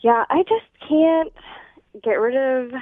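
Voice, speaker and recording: A woman's voice: high-pitched, takes it slowly, no echo. A woman calling in to a radio show over a phone line, talking in a casual conversational way. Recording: tinny, narrow-band telephone line.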